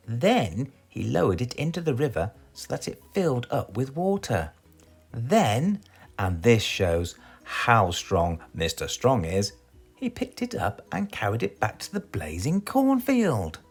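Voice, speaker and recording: A man's voice: medium pitch, husky. A man with a British accent reads a children's storybook aloud dramatically, putting questions to his young listeners. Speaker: storybook reader.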